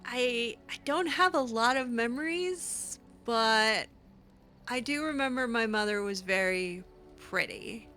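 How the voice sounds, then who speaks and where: A woman voicing a kind, awkward young mage in improvised video game roleplay, speaking hesitantly and gently. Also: a little high, slow, soft background music.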